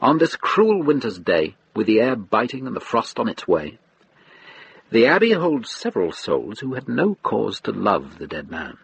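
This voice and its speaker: A man narrates a period radio drama as a storyteller. His voice is lowish and gravelly, moving widely in pitch. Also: wildly swooping pitch, normal speed, slight background noise, rough recording.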